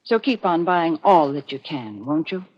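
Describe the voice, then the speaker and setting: A woman's voice: low voice, very animated, moderate pace. A woman reading sponsor messages as a 1940s radio announcer, folksy, earnest and persuasive. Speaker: sponsor announcer and show regular. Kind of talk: 1940s radio advertising read. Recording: muffled vintage 1940s radio.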